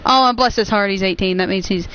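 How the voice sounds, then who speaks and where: A young woman of nineteen with a smooth, clear voice chats casually over a game. She talks earnestly and reassuringly, wandering from point to point, on a slightly muffled, lo-fi microphone. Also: medium pitch, quick pace, a bit bright. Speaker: gaming YouTuber hosting a stream. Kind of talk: casual chat over gameplay.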